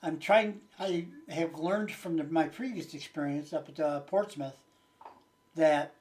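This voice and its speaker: A man's voice: fairly high pitch, a little slow, a little mellow. A man in his late sixties chatting casually and unscripted to his viewers as a channel host, rambling in a folksy way with hesitant phrasing. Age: late 60s.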